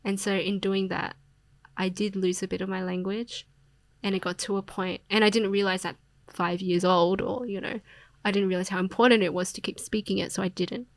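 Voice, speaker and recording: A young Australian woman, a poet, talking reflectively as a podcast guest, with plenty of fillers and soft laughs. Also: mid-range voice, little pitch movement, fairly quick, dry recording. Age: young adult. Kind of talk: casual interview conversation.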